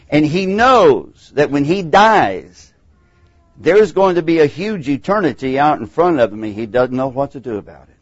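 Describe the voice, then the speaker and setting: A middle-aged man with a Texan accent teaching a Bible class in a classroom, animated and acting out the voices he quotes. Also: medium pitch, no echo, dull audio. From Texas.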